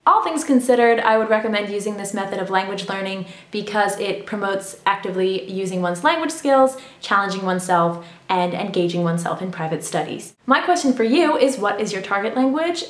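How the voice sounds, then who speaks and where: A woman vlogger with English as her first language, giving a formal, precise talk on language learning to her viewers. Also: medium pitch. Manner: formal, precise, academic wording. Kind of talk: scripted explanatory talk to camera. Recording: echoey room.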